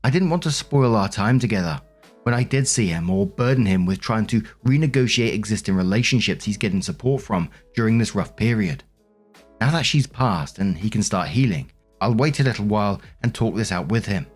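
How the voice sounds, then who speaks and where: Man, lowish pitch, scripted story narration, faint background music. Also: expressive, brisk, a little mellow, slightly rough. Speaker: narrator of online stories. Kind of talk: scripted narration, reading internet posts aloud.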